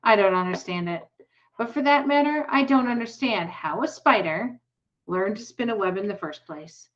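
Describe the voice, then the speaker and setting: A woman reading the part of a calm, thoughtful old country doctor in a storybook. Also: medium pitch, normal speed, lo-fi sound, natural voice. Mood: musing.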